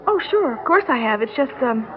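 A woman's voice: a little high, sing-song, fairly quick. An actress in a classic radio drama playing a flustered, skeptical young working woman, hesitant and stammering. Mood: distracted, uneasy. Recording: tinny, noisy vintage radio recording.

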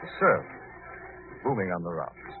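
Low-voiced, steady radio hero. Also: male voice, a little slow, over music. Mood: firm reassurance. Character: steady rational male detective hero, protective. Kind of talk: 1940s radio acting. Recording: noisy phone-like old radio recording.

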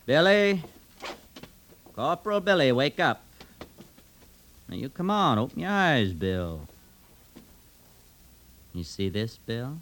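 An actor playing a menacing, cruel young guerrilla fighter with rural American speech, in old-time radio drama style. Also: male voice, medium pitch, big pitch swings, slow pace, long pauses, background noise. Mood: mocking, sinister. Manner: rural American dialect, taunting. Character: menacing young male Confederate guerrilla killer, taunting and cruel. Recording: vintage radio drama recording.

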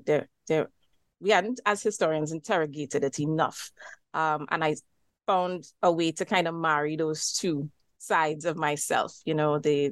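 A woman's voice: low voice, dry recording. A woman with a Trinidadian accent, a historian talking about her book in an interview, thoughtful with frequent fillers and restarts. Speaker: historian and co-editor guest. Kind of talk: academic interview.

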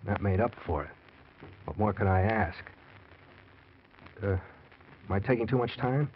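A man's voice: fairly low pitch, measured pace, slight background noise, natural voice. Man playing hard-boiled middle-aged gambler, muffled old radio. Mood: wry confessional. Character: forty-year-old racetrack gambler, a pulp crime writer. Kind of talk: 1940s radio drama acting.

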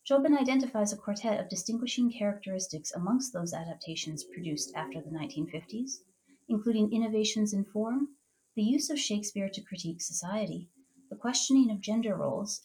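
A woman hosting a book podcast, reading a measured prepared introduction and then asking interview questions. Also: medium pitch, dark tone, poor audio. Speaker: podcast host.

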